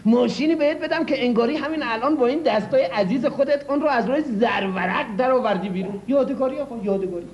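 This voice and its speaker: An actor playing a boastful, pompous used-car dealer who swings between loud and hushed delivery, in a comedy sketch. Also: male voice, high voice, lively intonation. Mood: scheming. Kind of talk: old comedy sketch acting. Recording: dull lo-fi old recording, echoey.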